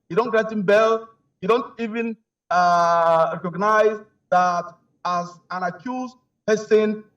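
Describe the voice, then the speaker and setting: High-voiced man on a distant line. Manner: emphatic, rhetorical questions, frequent restarts. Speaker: remote guest analyst. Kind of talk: live news commentary. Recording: distant, dull lo-fi remote line.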